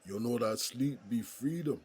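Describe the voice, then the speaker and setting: A man playing a good-humored, folksy prison inmate in an audio drama, with a gravelly, husky voice and colloquial drawl. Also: mid-range voice, measured pace, a little mellow. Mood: curious, teasing. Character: folksy, good-humored male jailhouse buddy named Smokey.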